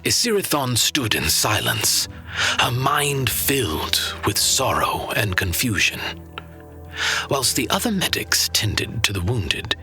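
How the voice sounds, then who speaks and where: A man playing a knowing narrator of fantasy legends, grave, deliberate and dramatic, with a breathy voice. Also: on the low side, pushed and bright, full character voice. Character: solemn old-world male storyteller of legends, knowing. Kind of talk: scripted fantasy storytelling.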